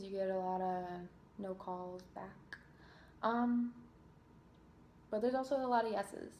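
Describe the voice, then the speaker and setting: Young woman, from New Jersey, casual Q&A, chatty, self-deprecating, mid pitch, echoey room. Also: a little mellow, slight background noise. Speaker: singer and vlogger. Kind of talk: casual Q&A reading viewer questions. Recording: roomy echo.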